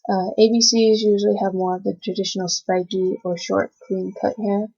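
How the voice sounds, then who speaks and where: A woman's voice: medium pitch, level tone, normal speed, gravelly, mellow, breathy edge. A young woman from the United States who grew up speaking Cantonese first, giving a class oral presentation and reading from notes with frequent hesitations. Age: young adult. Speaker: student presenter. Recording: dull lo-fi recording.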